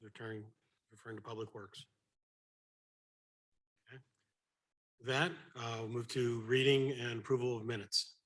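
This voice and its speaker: A man with a slight cold and a raspy voice, chairing a committee meeting in a plain, somewhat halting manner. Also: mid-range voice, level tone, fairly bright tone. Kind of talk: formal meeting procedure.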